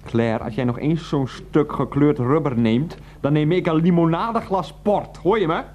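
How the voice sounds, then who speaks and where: An actor playing a reproachful, anxious, exasperated husband in a radio play. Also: male voice, fairly high pitch, normal speed, a little mellow, natural voice. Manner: reproachful, agitated. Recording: radio drama with faint background noise.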